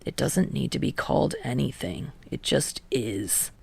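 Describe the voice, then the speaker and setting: A woman voicing a sardonic, grudging young man in a fan fiction audiobook, muttering in a terse, halting deadpan. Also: full character voice. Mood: reluctant, defensive. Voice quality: low, muttering, breathy.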